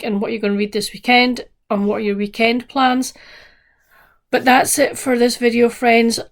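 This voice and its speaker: A woman chatting casually to camera as a book vlogger, her voice croaky, in a meandering, soft-spoken way. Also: fairly high pitch.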